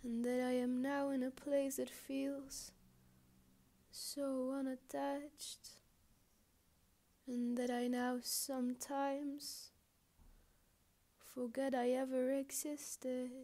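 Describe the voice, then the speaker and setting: A woman voicing a lonely, wistful, apologetic balloon in a poetic monologue, with halting, deliberate phrasing and long pauses. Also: high voice, warm tone. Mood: reflective. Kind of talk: slow poetic performance monologue.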